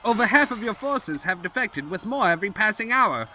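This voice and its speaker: An elderly pony chancellor from a comic audio drama, played by a man. He is loyal and fretful, with a high, very sharp voice. His delivery is formal and fawning, over audible noise. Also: sing-song, a little fast, heavily acted. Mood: anxious, deferential. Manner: fussy, formal, obsequious. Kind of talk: comedic audio drama acting.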